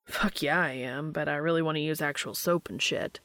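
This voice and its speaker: A woman voicing a gruff but bashful man in an audio story, soft-spoken and casual, with slangy wisecracks. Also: deep voice, moderate pace, no echo, studio quality. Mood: huffy.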